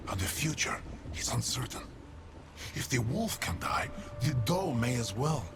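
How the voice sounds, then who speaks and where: An actor playing an ancient, plague-corrupted forest elder in a video game, solemn, weary and mournful, with breathy, archaic, deliberate speech that sinks toward whispers. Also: male voice, medium pitch. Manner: grave, archaic, deliberate storytelling with long pauses. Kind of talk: video game character dialogue, dramatic lore monologue. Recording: loud background music under the voice. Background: music.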